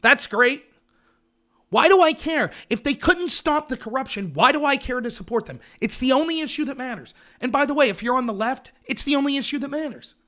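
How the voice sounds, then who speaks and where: A man's voice: extremely high pitch, animated, brisk, bright, forceful tone. A man talking politics on a livestream in an emphatic, sarcastic way, firing off rhetorical questions.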